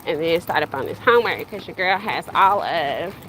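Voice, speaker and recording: A woman's voice: on the low side, animated, moderate pace. A woman in her thirties, from the Bahamas, chatting casually and rambling to her livestream viewers while walking outdoors with a stroller, recorded on a handheld phone. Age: 30s. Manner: rambling, conversational, addresses viewers directly. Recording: street noise outdoors.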